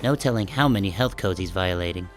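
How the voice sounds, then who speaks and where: A man playing a short, self-conscious sheep who narrates an audiobook in a wry, deadpan, casual first-person style. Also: deep voice, fast talker, soft background music, close to an everyday voice. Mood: wry, musing.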